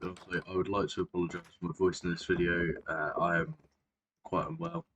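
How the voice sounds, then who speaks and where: A man's voice: low voice, big pitch swings, brisk, muffled recording, noisy background. A man, narrating a game tour casually, rambling and often correcting himself. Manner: rambling, self-correcting, many fillers. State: sore throat, clearing throat and coughing. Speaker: Minecraft video creator.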